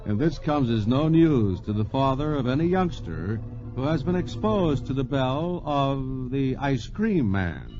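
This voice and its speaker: A man's voice: medium pitch, very animated, unhurried. A genial 1940s radio narrator, man. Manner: genial, explanatory. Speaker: narrator, host. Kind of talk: scripted narration. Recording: dull transcription disc recording with music.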